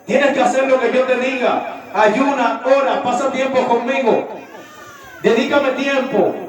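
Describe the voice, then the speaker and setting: A man's voice: very high-pitched, steady tone, measured pace, a crowd in the background. A man preaching voices God as firm and insistent, giving commands to his servant. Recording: very echoey hall, distant mic.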